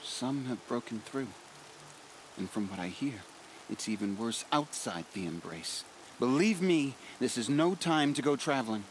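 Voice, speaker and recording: This is a gentle young tribesman on guard in a video game, acted by a man. He speaks earnestly and modestly at a middling pitch. Light rain and hiss are heard behind him. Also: lively intonation, measured pace, close to an everyday voice. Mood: worried. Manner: earnest, unassuming. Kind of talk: video game cutscene acting.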